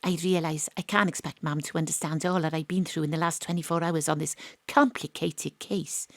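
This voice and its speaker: An actress playing a brisk, wry private investigator, narrating her case in comic noir style for an audio drama. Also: low-pitched, no room sound. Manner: deadpan noir-style narration, punchy questioning.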